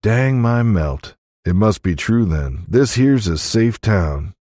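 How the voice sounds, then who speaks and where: A giant, tough gunslinger from a storybook , acted by a man. He is proud and folksy, drawling slowly, recorded dry and close. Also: fairly low pitch, lively intonation, darkish tone, no room sound, voice pushed up.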